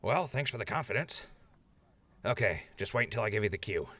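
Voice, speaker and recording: An actor playing a chatty, upbeat radio host in an audio drama. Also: male voice, fairly low pitch, lively intonation, a little fast, bright, forceful tone. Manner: chatty, upbeat broadcaster patter. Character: eager male wasteland radio host. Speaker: radio show host in story.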